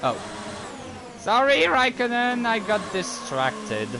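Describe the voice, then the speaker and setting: French-native male gamer; slow, lively-pitched rambling commentary, engine noise behind. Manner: rambling, easily distracted, quick outbursts. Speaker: gaming YouTuber. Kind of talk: casual gameplay commentary. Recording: gameplay audio with clear engine noise.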